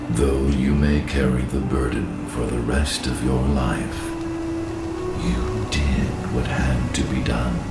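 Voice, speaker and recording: An actor playing an ancient, solemn guardian spirit of the forest in a video game, gravelly and archaic in speech. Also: male voice, full character voice. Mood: solemn, consoling. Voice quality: very deep, gravelly. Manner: slow, solemn, archaic pronouncements. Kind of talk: video game cutscene acting. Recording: reverberant, distant, under loud music.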